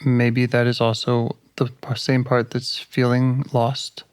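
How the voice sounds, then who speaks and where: A man leading a live therapy session over a call, gentle and calm, pausing to reflect as he speaks. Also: medium pitch, clear, resonant tone, dry recording, studio quality. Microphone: call audio.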